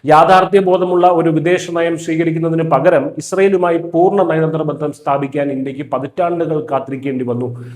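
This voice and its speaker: A man's voice: a little high, a little mellow, reverberant. A man reading a scripted news voice-over in a firm, emphatic way. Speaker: news narrator.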